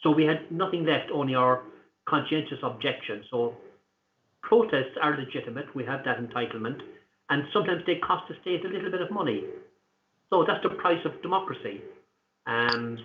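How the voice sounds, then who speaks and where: A man with an Irish accent answering interview questions in an earnest, deliberate way, pausing often with fillers. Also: fairly high pitch, slight background noise. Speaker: interview guest, activist. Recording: tinny echoey call line.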